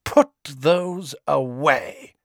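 A man's voice: fairly high pitch, sing-song, no room sound, clean studio sound, voice pushed up, heavily acted. Man voicing icy, haughty aristocratic mother. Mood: cold threat. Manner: icy, measured, condescending. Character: cold, haughty aristocratic mother, quietly menacing.

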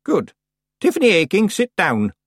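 A man's voice: high-pitched, wildly swooping pitch, normal speed, no echo, pitched above the performer's own voice, exaggerated voice. A man putting on a stern ancient witch. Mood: dry. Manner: crisp, dry, matter-of-fact. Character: very old stern calm witch woman.

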